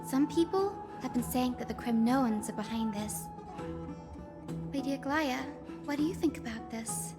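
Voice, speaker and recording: A very high, polite young healer girl from a video game. Her earnest voice rings in long hall reverb, with loud music behind it. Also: animated, moderate pace. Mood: earnest, concerned. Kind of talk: scripted video game voice acting.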